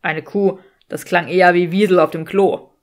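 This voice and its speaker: Casting: sardonic young male wizard for a fantasy audiobook, self-satisfied and dry, slightly lowered pitch played by a woman. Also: fairly even intonation, moderate pace, lightly acted.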